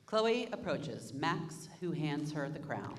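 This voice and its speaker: A woman reading stage directions aloud in a brisk, matter-of-fact way during a staged reading. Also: sits low, sing-song.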